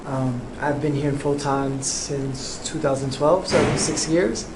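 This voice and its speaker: Soft-spoken New artist in a roomy studio. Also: male voice, mid-range voice. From Cuba, raised in New York. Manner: soft-spoken, unhurried, with frequent ums. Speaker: visual artist, interviewee. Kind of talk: relaxed interview answers. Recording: roomy studio, faint background noise.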